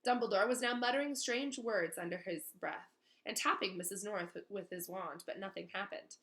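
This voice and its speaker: Woman, storyteller, expressive book narration, bright crisp tone, medium pitch. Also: moderate pace. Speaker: read-aloud storyteller. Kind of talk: expressive scripted narration of a children's novel.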